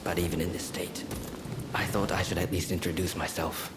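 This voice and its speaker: A man playing a gentle, courteous, bedridden young swordsman in a video game, with a soft, breathy and polite delivery. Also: low-pitched, steady tone, brisk, heavy background noise, close to an everyday voice. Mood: apologetic, wistful. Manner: polite, self-deprecating, gently spoken. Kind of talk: dramatic video game acting. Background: game soundtrack music.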